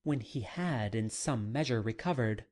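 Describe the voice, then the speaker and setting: An audiobook narrator reading classic literature dramatically, with measured and deliberate phrasing. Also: medium pitch, animated, a little mellow.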